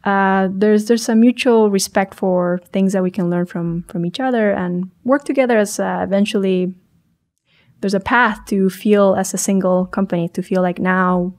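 A young woman from Chile, a startup founder speaking as a podcast guest in a small studio on a boom mic, talking thoughtfully with frequent restarts and self-corrections. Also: steady tone, moderate pace, darkish tone. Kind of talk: podcast interview.

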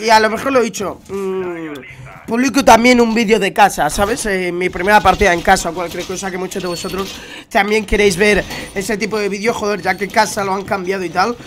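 Young man, Spanish accent, stuffy cold voice, high-pitched, chatty slangy gamer talk, headset mic. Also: normal speed, fairly bright tone. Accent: Spanish from Spain. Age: young adult. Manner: chatty, slangy, addresses viewers directly. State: congested with a cold. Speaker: gaming YouTuber. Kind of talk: casual gameplay commentary. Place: home room at a gaming setup.

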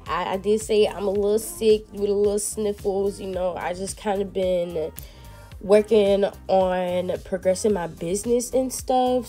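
A woman's voice: mid-range voice, mellow. A young woman with a congested, stuffy nose, chatting casually to viewers through a nail tutorial. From Texas. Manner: chatty, addresses viewers as y'all. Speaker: nail technician.

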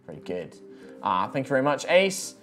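A man acting as dungeon master, narrating scenes in a low, hushed voice and reading out donation messages at a studio table. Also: big pitch swings, moderate pace, faint music behind.

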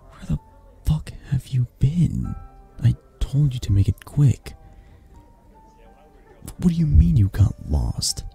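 A man playing a possessive, flirtatious mafia boss in a romantic audio roleplay, husky and gravelly, speaking with intimate condescension and long pauses. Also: mid-range voice, wildly swooping pitch, mellow, exaggerated voice. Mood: possessive, angry. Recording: music behind the voice. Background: background music.

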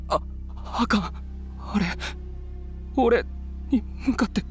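A man's voice: very high-pitched, slow, soft background music. A man playing a gloomy, wary teenage boy in a voice comic, speaking tersely with a Mie accent. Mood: frightened. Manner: terse, subdued. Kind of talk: voice comic drama acting.

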